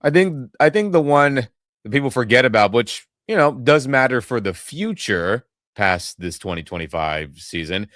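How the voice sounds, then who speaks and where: A man's voice: mid-range voice, normal speed, dry recording, clean studio sound. A man co-hosting a sports podcast with a head cold and a nasal voice, venting about his team's loss. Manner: irritated, venting, animated. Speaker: podcast co-host. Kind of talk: casual sports reaction chat. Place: home in Los Angeles. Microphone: webcam setup.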